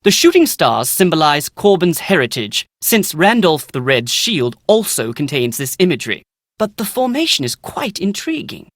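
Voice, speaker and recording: A man's voice: fairly high pitch, very animated, moderate pace, a bit bright, no room sound, clean studio sound. A man playing a pompous, precise British scholar in a video game. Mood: lecturing, intrigued. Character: pompous, nervous British male scholar writing his memoirs. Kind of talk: scripted video game dialogue.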